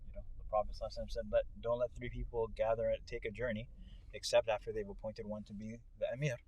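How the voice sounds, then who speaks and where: A man's voice: on the low side, flat delivery, very fast, dull audio. A man in a casual, reflective religious discussion, explaining his points and building them by repeating phrases. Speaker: co-host and speaker on a ride-along talk show. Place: inside a moving car. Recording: in-car recording with clear vehicle noise.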